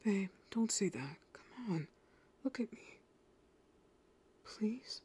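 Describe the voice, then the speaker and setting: A woman acts out a tender teenage boy from an anime audio roleplay, caring and soothing. The voice is extremely breathy, often sinking into a whisper. The words come slowly and gently, meant to comfort a frightened partner. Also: mid-range voice, expressive, heavily acted. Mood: tender concern.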